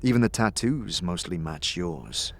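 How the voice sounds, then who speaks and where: A man with a husky, gravelly voice reading scripted second-person fantasy narration as narrator and game master. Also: low voice, very animated, unhurried, dry recording.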